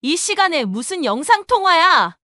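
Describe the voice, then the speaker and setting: A woman's voice: very high-pitched, sing-song, normal speed, pushed and bright, dry recording, studio quality, heavily acted. A woman playing a brazen, snippy young daughter-in-law who justifies herself with scorn in an audio drama. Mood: indignant, scornful. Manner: curt, snippy, sarcastic.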